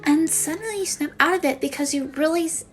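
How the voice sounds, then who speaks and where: A woman's voice: high voice, a little fast, soft background music, voice pushed up, natural voice. A woman playing a self-conscious young woman in a confessional monologue, rambling in a bashful, hesitant way. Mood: awkward, embarrassed. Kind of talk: confessional monologue for a voice acting demo reel.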